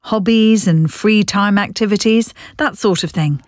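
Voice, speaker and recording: A woman playing a polite, brisk job interviewer who asks probing questions. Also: mid-range voice, no room sound, studio quality, lightly acted. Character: businesslike woman interviewer, patient. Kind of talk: scripted listening dialogue.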